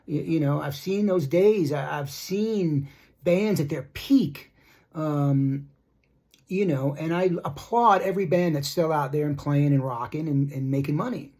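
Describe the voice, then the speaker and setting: A middle-aged man giving a casual opinion monologue as a rock music commentator, blunt and critical, rambling with halting, repeated starts. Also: fairly high pitch, darkish tone. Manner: rambling, repetitive, halting asides.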